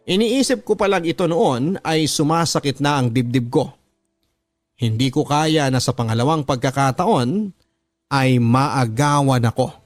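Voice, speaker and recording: A Filipino man narrates a dramatic first-person story in a mid-pitched voice, with music playing clearly underneath. His delivery is earnest and measured, and he stretches out the ends of phrases. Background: background music.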